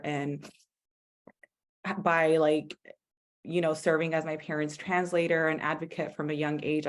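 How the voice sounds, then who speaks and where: Young woman med student, low voice, halting and casual, full of fillers. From born in Ethiopia, raised partly in Eritrea, then California. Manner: hesitant, many ums and likes. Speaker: medical student guest. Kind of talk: casual online panel discussion.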